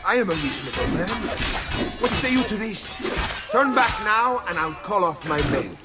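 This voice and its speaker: An arrogant game villain. He is theatrical, sneering and menacing over loud music. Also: high voice, big pitch swings, normal speed. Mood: falsely reasonable. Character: arrogant villainous Templar man, sneering and bloodthirsty. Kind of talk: video game villain acting.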